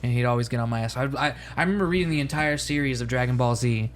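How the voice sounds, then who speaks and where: A man's voice: medium pitch, rapid-fire, a little hiss or hum. A man co-hosting a podcast, wry and self-mocking in casual chat. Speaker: podcast co-host.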